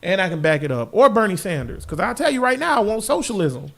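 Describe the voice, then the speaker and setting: A man's voice: sits high, big pitch swings, fast talker, vocal fry, a bit bright. A man hosting a podcast in casual, slangy, profane banter, brash and teasing. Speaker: podcast host.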